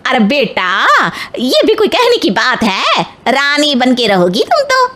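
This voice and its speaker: Lady putting on pushy matchmaker auntie, middle-aged, chirpy, really high voice, eager. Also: wildly swooping pitch, unhurried, a bit bright, a little hiss or hum, exaggerated voice. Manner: eager, persuasive.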